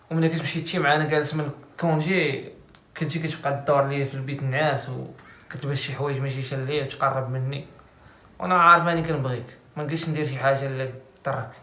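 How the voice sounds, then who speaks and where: A man's voice: on the high side, a bit bright, natural voice. A man with a Moroccan accent playing an insistent, commanding husband. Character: pushy husband, pressing and jealous. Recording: roomy echo.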